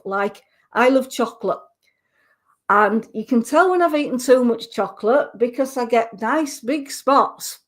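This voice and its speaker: Slow-talking Yorkshire woman, gentle and reassuring. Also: on the high side. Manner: gentle, reassuring, with many hesitant restarts. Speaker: clairvoyant giving readings. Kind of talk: live psychic reading on a radio show.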